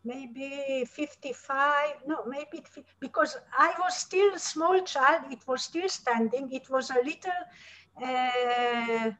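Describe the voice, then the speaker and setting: An older woman speaking haltingly over a video call, with many fillers and restarts. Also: high voice, a bit bright. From Slovakia, Hungarian-speaking border town. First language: Hungarian. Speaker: interviewee, artist and Holocaust survivor's daughter. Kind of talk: video-call interview, showing slides. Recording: dull lo-fi call audio.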